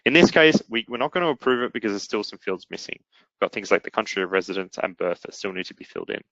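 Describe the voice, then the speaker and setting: A man presenting a software product in a webinar, explaining it step by step in an instructional way. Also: fairly low pitch, lively intonation, fast, no echo, highs cut off. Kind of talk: explanatory webinar demo narration.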